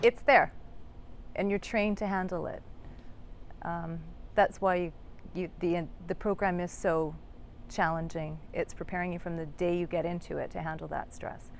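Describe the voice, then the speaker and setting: A woman, an air traffic controller answering in an interview in a halting manner. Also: lowish voice, fairly even intonation. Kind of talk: interview talk.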